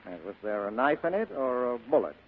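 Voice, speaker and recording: An actor playing a tough, slangy private detective in a 1940s radio mystery. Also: male voice, mid-range voice, very animated, brisk, slightly rough. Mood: blunt, probing. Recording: tinny, noisy vintage radio broadcast.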